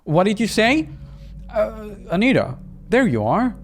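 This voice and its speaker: A man voicing an excitable, dorky middle-aged father in a visual novel, gushing with delight. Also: high voice, a little slow, a little hiss or hum, voice pushed up. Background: music behind the voice.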